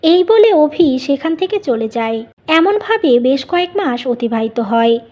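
A woman narrates a cartoon tale. Her voice is high, and she delivers scripted storytelling lines as the narrator. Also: expressive, a little slow, warm tone.

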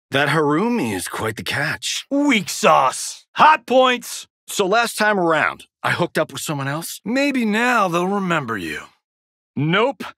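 A man's voice: fairly high pitch, sharp tone, dry recording, studio quality, exaggerated voice. An actor playing a cocky, wisecracking male Hollywood action star in a fighting video game, with a smug, flippant, showboating delivery. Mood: teasing, flippant.

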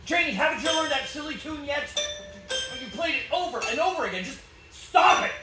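A man's voice: extremely high pitch, lively intonation, a little fast, over loud music. An actor playing a harried, short-tempered, despairing husband and father in a live 1940s-style radio play, biting and emphatic. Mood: furious. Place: small theatre stage with audience. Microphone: distant camera in the audience. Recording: roomy stage recording from far off, very noisy.

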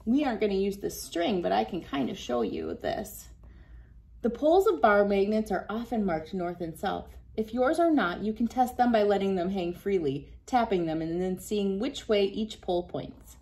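A woman in her thirties with a mid-pitched voice reads a children's book aloud in an instructive way. She breaks off for casual asides to her young audience, speaking indoors in a small room a little way from the mic. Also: lively intonation, moderate pace, creaky, warm tone. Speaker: children's read-aloud host.

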